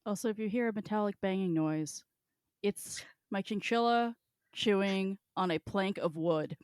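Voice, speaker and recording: A woman with a mid-pitched voice chats as a podcast co-host. Her delivery is informal and meandering, with some swearing. The recording is dry and close. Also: normal speed, a little mellow, smooth, no echo. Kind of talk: casual chat among co-hosts.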